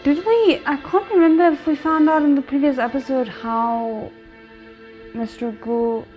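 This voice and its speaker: A woman's voice: high-pitched, a little slow, dull audio, soft background music. A woman hosting a reaction video, commenting casually on a drama in short exclamations and musings. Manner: exclaims and muses aloud in short remarks.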